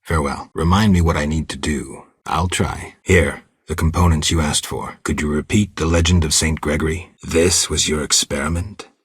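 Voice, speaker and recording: A man voicing a stoic, world-weary monster hunter in a video game, terse and deadpan, with a gravelly, husky low voice. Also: moderate pace, hardly any pauses, no room sound, clean studio sound. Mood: courteous, dry. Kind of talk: video game dialogue lines.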